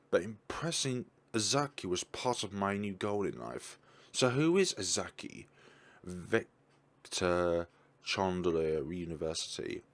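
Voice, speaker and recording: A man reading a visual novel's text aloud, stumbling and correcting himself, with casual asides about the game. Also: low-pitched. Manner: stumbling reads with self-corrections.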